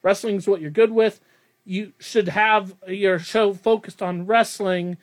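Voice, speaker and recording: A man's voice: sits high, fairly even intonation, unhurried. A young man hosting a casual podcast, rambling and self-deprecating, laughing often. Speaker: podcast host.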